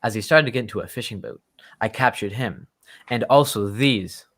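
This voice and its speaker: A man playing a polite, earnest, loyal gentleman friend of a detective in an old-time radio drama, speaking with formal courtesy. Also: on the low side, moderate pace.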